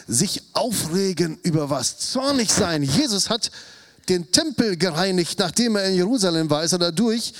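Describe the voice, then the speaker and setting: A man in his fifties preaching a sermon, animated, acting out quotes with a raised voice. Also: high voice, moderate pace. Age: 50s. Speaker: pastor.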